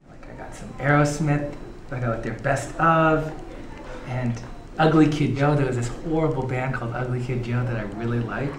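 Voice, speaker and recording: Relaxed male teacher in echoey room. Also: medium pitch, moderate pace, background noise. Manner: relaxed, rambling with fillers. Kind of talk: casual interview answer.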